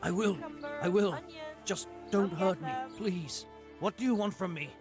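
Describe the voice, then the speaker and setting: A man's voice: high-pitched, unhurried, music in the background. An actor playing a cowardly, hesitant henchman in a video game, stammering and pleading. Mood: frightened pleading.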